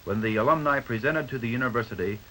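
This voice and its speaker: Bright-voiced American man narrating a 1950s newsreel. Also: medium pitch, poor audio. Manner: formal, measured announcer delivery. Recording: 1950s newsreel soundtrack with faint noise.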